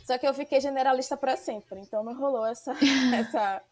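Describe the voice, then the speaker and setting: A woman's voice: high voice, a little fast, darkish tone. A woman from Salvador with a Bahian accent, hosting a podcast in a casual, chatty way with slang. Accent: Bahian Portuguese. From Salvador, Bahia, Brazil. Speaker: podcast host. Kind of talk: podcast interview.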